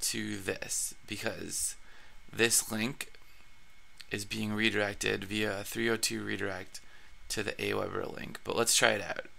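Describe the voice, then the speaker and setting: Raspy, gravelly man walking through a tutorial. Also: on the low side, flat delivery, measured pace, sharp tone. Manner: casual, with frequent fillers and restarts. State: raspy from allergies. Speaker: blogging tutorial host. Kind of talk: screencast tutorial narration.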